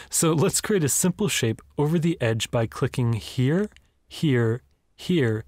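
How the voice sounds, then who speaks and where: A man's voice: mid-range voice, dry recording. A young man in his twenties giving an upbeat, encouraging step-by-step design tutorial, recorded in a small home studio. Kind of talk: screen-recorded software tutorial.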